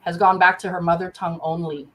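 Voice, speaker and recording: A woman educator speaking on a panel over a video call, telling stories in an earnest, animated way. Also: on the low side, quick pace.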